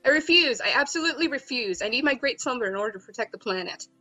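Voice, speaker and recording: A woman's voice: sits high, animated, fast talker, pushed and bright, faint music behind, full character voice, low-quality recording. A woman voicing a whiny, grandiose teen boy. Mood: melodramatic protest. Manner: whiny, melodramatic protests. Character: sleepy dramatic teenage boy calling himself a great wizard. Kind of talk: fan voicing of a visual novel.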